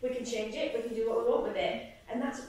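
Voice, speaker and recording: Female musical director, higher register, recorded distantly in a very reverberant room. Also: quick pace, darkish tone. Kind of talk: casual chat. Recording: echoey room from far off.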